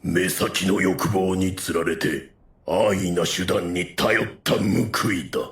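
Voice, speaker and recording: A man's voice: low-pitched, steady tone, takes it slowly, fairly bright tone, roomy sound, full character voice. A man playing a stern, honourable insectoid warrior in an anime audio drama, speaking with weighty formality.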